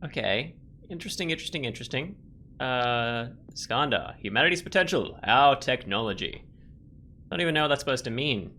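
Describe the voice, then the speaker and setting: A man's voice: medium pitch, lively intonation, brisk, pushed and bright. A man giving casual let's play commentary, thinking aloud in a rambling, musing way. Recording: faint game music behind.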